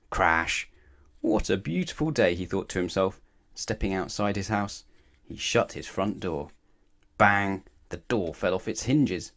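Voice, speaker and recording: A man reading a children's story aloud in an expressive, animated way, with emphatic phrasing aimed at the listener. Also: on the low side. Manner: animated, asks the listener questions, emphatic repetition.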